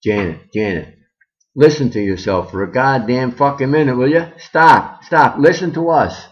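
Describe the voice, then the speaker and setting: A man's voice: medium pitch, moderate pace, close to an everyday voice. A man voicing a flustered, blunt neighbor woman who swears as she scolds, on a muffled recording. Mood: pleading. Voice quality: profane, scolding.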